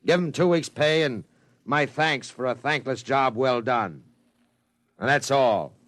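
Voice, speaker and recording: A man's voice: mid-range voice, a little slow, dry recording, close to an everyday voice. An American man playing a blunt, ambitious and ruthless tycoon in 1950s radio drama style. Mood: curt, dismissive. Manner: forceful, blunt, imperious. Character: ambitious, ruthless male tycoon obsessed with conquering space.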